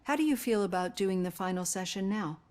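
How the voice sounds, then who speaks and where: A woman's voice: mid-range voice, expressive, a little mellow, natural voice. Female psychologist for a game, played by an actress: mid-range voice, composed and caring, careful earnest phrasing. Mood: calm, probing. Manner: measured, earnest, articulate. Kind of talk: acted video game dialogue.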